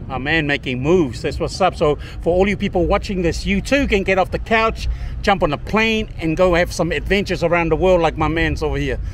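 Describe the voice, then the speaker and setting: High-pitched, friendly man vlogging outdoors. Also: brisk, a little hiss or hum. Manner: friendly, slangy, addresses viewers. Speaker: vlogger and street interviewer. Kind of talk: casual vlog chat and street interviews. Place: outdoors at a busy beach promenade.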